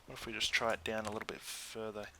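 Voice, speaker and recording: An Australian man giving casual, chatty let's play commentary in an easygoing way, thinking out loud as he goes. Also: on the low side, monotone, fast, slight background noise. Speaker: gaming YouTuber.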